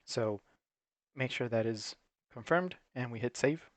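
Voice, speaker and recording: A man's voice: medium pitch, a little slow, no room sound. A man giving an unscripted, matter-of-fact, step-by-step tutorial in an instructional way. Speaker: technical support presenter.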